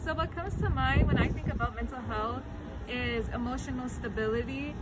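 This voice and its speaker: Composed young woman over loud noise. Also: high voice, moderate pace. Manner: composed, thoughtful answer. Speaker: audience member.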